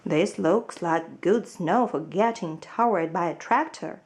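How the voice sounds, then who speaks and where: A woman voicing a kindly, unhurried farmer in a storybook, a man with a friendly, reassuring manner. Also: fairly low pitch, a little mellow. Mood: kindly, inviting.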